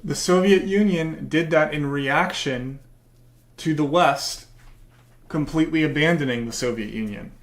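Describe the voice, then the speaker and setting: Brief: hoarse male streamer, combative, profane, emphatic rants, slightly higher voice. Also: unhurried. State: losing his voice. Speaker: livestreamer reacting to a video. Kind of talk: heated live reaction commentary.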